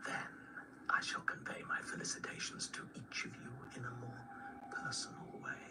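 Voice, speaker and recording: An actor playing an ancient, cunning vampire in a radio drama, breathy and formal, with mocking courtesy and cultured menace. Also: male voice, whispered, distant mic, close to an everyday voice. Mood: gloating courtesy. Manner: formal, florid, slow and mocking. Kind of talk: radio drama letter monologue.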